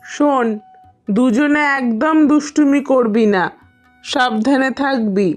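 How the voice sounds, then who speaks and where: A woman's voice: sits high, slow pace, soft background music. A woman voicing a caring village mother in a cartoon, fussing and admonishing in a motherly way. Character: fussy, caring village mother instructing her daughters. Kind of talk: cartoon voice acting.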